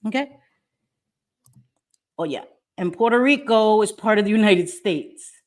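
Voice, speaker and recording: A woman's voice: medium pitch, expressive, a little slow, darkish tone. A middle-aged woman from New York, hosting a casual livestream, speaking emphatically and defiantly and repeating her phrases. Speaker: livestream host. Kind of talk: casual livestream chat, reading viewer comments. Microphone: webcam or phone mic, live stream.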